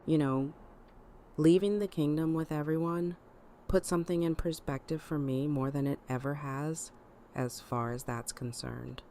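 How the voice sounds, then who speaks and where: A woman's voice: deep voice, fairly even intonation, a little slow, mellow, a little hiss or hum. A woman acting a weary, guarded, plain-spoken woman. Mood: warm. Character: weary, tough middle-aged woman, guarded, grieving. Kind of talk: audio drama acting.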